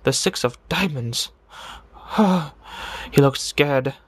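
A man voicing a deranged serial killer who confesses with morbid relish in a theatrical, brooding monologue full of dramatic pauses, in the style of a video game villain. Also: fairly high pitch, slightly rough. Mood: brooding, bitter. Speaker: amateur voice impressionist.

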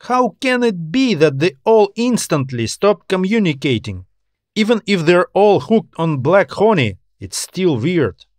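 A man's voice: sits high, very animated, a little slow, a little mellow, dry recording, pitched above the performer's own voice. Tired, anxious customs officer voiced by a man.

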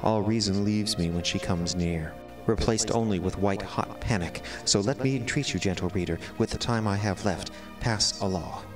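An actor playing an eloquent, melodramatic cat who sees himself as a prisoner, speaking in formal, florid, theatrical monologue. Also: male voice, fairly low pitch, normal speed, hardly any pauses, distant mic, lightly acted. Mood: dread. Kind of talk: dramatic first-person audio drama monologue. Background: music.

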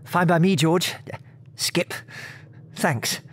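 A man's voice: high voice, extremely slow, husky, exaggerated voice. Modest airman, acted by a man, lightish voice. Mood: eager, deferential. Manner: polite, earnest, understated. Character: modest, polite British bomber airman. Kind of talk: radio drama acting.

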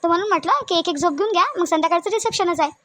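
Village family woman played by a female actor, cartoon drama. High, brisk, colloquial Vidarbha Marathi accent, dry close sound. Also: fairly bright tone, no echo. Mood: caring, chatty. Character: women of a village family: shy bride, chatty sisters-in-law, scolding aunt.

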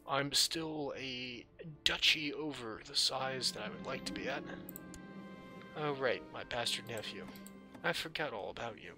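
A man's voice: mid-range voice, unhurried. A man giving casual gameplay commentary in a dry, offhand way, musing aloud in a slightly scratchy voice. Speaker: gaming video host. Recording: music under the voice.

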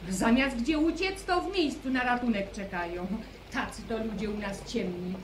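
An actress playing a plain-spoken, unafraid young village woman in an old radio drama, telling her tale in a brisk, indignant way. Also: fairly high pitch, roomy sound, background noise, close to an everyday voice. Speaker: young woman caught in a storm. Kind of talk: 1970s radio drama acting.

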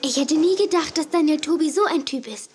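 A woman's voice: high voice, brisk, a little mellow, a little hiss or hum, lightly acted. A woman playing a spirited, loyal younger sister, eager and outspoken, in a children's audio drama. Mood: chatty, excited. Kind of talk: 1980s German children's radio play acting.

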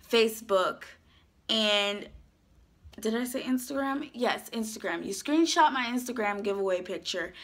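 A young woman chatting to camera in a casual vlog, her voice worn from a sore throat, animated and gesturing as she talks. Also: a little high. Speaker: YouTuber. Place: small indoor room, dark backdrop.

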